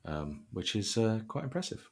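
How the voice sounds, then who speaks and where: A man hosting a casual podcast chat, speaking in a hesitant, halting and wordy way. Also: fairly low pitch, sing-song, gravelly. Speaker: podcast host.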